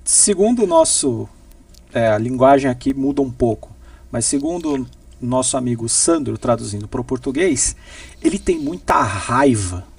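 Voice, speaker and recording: Animated, gravelly gaúcho man. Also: medium pitch, normal speed, far from the mic. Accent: southern Brazilian, gaúcho. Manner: jokey, playful. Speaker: co-host reading listener questions. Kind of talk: casual advice show chat.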